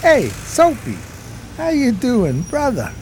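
A man's voice: extremely high pitch, wildly swooping pitch, slow pace, a little hiss or hum, heavily acted. A man playing a broke, eager 1920s New York street drifter in a radio play, talking in hesitant slang. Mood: friendly, eager. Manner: slangy, hesitant, colloquial storytelling. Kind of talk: radio play acting and first-person narration.

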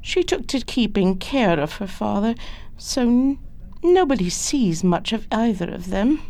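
A woman playing a chatty, motherly village housekeeper in a period radio drama, creaky-voiced, with a folksy, fussing manner. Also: mid-range voice, lively intonation, unhurried, darkish tone. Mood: gossipy. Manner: fussing, folksy, sing-song.

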